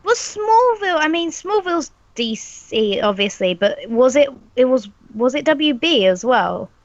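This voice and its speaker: A woman with a slight sniffle from a cold chats with emphatic enthusiasm. Her voice sits a little high and dry, and the video call audio is dull and lo-fi. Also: big pitch swings, unhurried, dry recording. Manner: gushing, emphatic fan talk. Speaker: podcast guest. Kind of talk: casual chat.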